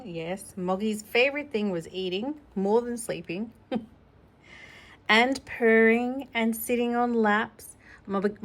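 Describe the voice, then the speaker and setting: A woman reading a children's storybook aloud in an informal, gentle, conversational way, adding personal asides for a child. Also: mid-range voice. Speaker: storybook reader.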